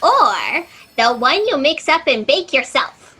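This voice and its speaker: Audio comedy role: actress as chatty, oblivious female office fact-checker, very high and swoopy. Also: very animated, slow pace, pushed and bright, full character voice. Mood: oblivious, musing. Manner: rambling, oblivious, chirpy. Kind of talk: comedic audio drama acting.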